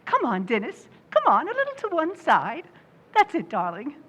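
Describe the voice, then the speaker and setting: An actress playing a spoiled, gushing movie star in an old-time radio play, with a theatrical, imperious manner. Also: female voice, sits high, huge pitch swings, normal speed, fairly bright tone, slight background noise, exaggerated voice. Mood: sugary, bossy. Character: spoiled, gushing, self-adoring female movie star called Madame.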